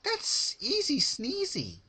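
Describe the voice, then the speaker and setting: A man's voice: very high voice, huge pitch swings, slow, bright, forceful tone, voice pushed up, exaggerated voice. A man voicing a bubbly, childish, sadistic female cartoon pony with a sing-song, taunting manner. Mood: gleeful menace.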